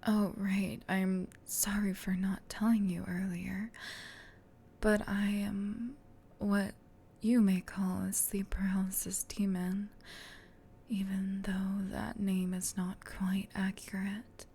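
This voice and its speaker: Woman voicing a slow, whispering female demon. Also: mid-range voice, level tone, full character voice. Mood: gentle, soothing. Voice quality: breathy, whispered. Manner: slow, teasing, hushed, intimate. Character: female sleep paralysis demon, menacing tease turned flustered gentle comforter. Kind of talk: whispered ASMR roleplay.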